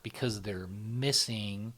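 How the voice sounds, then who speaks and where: A man with a fairly low voice, hosting a podcast in a relaxed, thinking-aloud way. He often trails off and stretches his words. His frequent checks with his listener keep the exchange loose and casual. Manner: conversational, drawn-out, frequent "you know" and "right?". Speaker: podcast host. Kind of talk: casual discussion.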